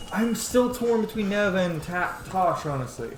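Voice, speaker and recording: A man's voice: high voice, echoey room, noisy background. A man giving casual, informal let's-play commentary, talking to the game as he plays and swearing freely. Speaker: video game streamer, player. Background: game music.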